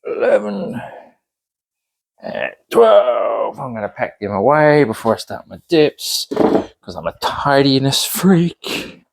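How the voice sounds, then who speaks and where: Man, New Zealand accent, fitness instructor, encouraging, counting reps, a bit breathy from exertion. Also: medium pitch, sing-song, takes it slowly. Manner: encouraging, chatty, counts reps aloud while exercising. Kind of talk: workout demonstration, counting reps.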